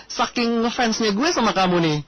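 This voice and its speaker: A man's voice: very high-pitched, lively intonation, normal speed, very noisy. A man hosting a casual radio phone interview in a chatty, colloquial, gushing way.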